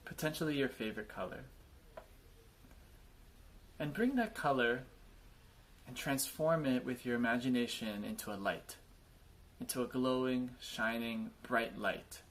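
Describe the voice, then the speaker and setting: A man leading a guided body scan meditation, speaking in gentle invitations with frequent pauses. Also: mid-range voice.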